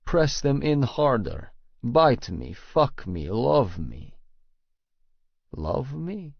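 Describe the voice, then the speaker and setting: Gravelly, slow male audiobook narrator. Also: mid-range voice, big pitch swings, dry recording, muffled recording. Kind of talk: first-person romance audiobook narration.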